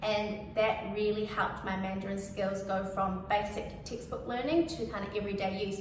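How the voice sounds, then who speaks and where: A young woman with a New Zealand accent who grew up speaking Cantonese talks through her story in a relaxed, chatty way, full of "like" and "you know". Her voice sits at an ordinary middle pitch. It comes from some distance, in a strongly reverberant space with clear background noise. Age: young adult. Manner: casual and chatty, with many "like" and "you know" fillers. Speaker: featured speaker. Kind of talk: personal testimonial.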